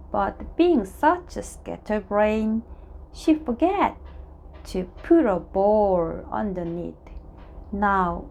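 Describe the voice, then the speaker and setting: A woman reads a children's story aloud. Her delivery is slow and a little halting, with animated rises and falls and a noticeable fry in the voice. Some noise sits behind her. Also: medium pitch. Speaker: storybook reader.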